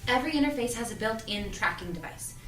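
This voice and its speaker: A woman's voice: on the high side, lively intonation, fast talker, fairly bright tone. Woman as earnest teenage girl, roomy distant mic. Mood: explaining. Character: earnest, secretive teenage girl, friendly shop helper. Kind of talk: audio drama cast table read.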